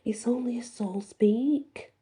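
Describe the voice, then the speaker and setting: Woman playing a cocky, husky young male spellcaster. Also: a little high, slow, mellow, voice pushed up, heavily acted. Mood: cocky, teasing. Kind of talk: fantasy audiobook dialogue.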